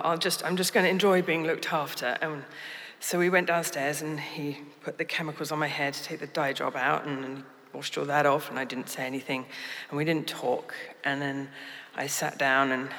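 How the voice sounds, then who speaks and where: A woman's voice: low voice, fairly bright tone, big-room sound. An English woman telling a true story on stage in a wry, candid, self-mocking way. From England. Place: theater stage.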